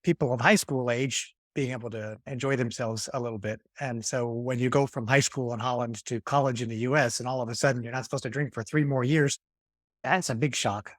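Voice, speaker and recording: A man in his fifties hosting a podcast, asking questions in a friendly, measured way. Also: medium pitch, fairly even intonation, dry recording, clean studio sound. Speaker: podcast host. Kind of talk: hosted interview.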